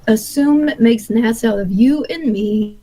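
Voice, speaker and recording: A woman's voice: fairly high pitch, measured pace, dark tone, poor audio. A woman in casual group chat, talking in a blunt, joking way.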